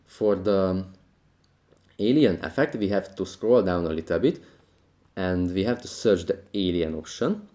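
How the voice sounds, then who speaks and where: A man's voice: low-pitched, measured pace, mellow. A man narrating a scripted how-to tutorial as its host.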